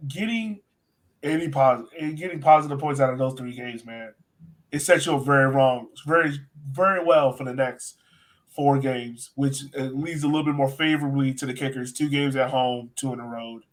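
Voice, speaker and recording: A man's voice: mid-range voice, normal speed, rough recording. A man hosting a soccer podcast, talking in a casual, conversational sports-chat style.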